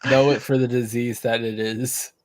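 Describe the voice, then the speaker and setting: Male, average pitch, casual mock-serious banter, very little room sound. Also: flat delivery, moderate pace, dry recording, rough recording.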